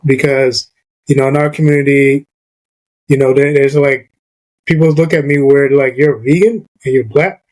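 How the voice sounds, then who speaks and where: A man's voice: mid-range voice, steady tone, moderate pace, darkish tone, rough recording. A man from Barbados hosting a podcast, talking casually and loosely with plenty of "you know" and "like". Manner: conversational, many fillers like "you know" and "like". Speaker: podcast host.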